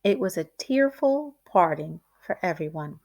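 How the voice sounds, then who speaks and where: A woman reading a children's picture book aloud like a teacher, in a deliberate, expressive storytelling way. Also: on the low side, creaky.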